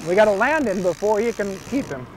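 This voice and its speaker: A man working as a fishing guide, calmly and patiently talking someone through landing a fish, recorded outdoors on an open river boat. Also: high-pitched, brisk, poor audio. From Oregon, United States. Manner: calm, patient instructions. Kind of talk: coaching on a boat. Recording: outdoor noise from boat and water.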